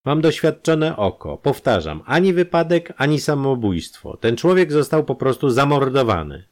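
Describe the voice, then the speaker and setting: A man's voice: mid-range voice, very animated, normal speed, voice pushed up, close to an everyday voice. A man voicing a brusque, impatient, experienced male doctor in a crime audio drama. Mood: curt. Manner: curt and insistent.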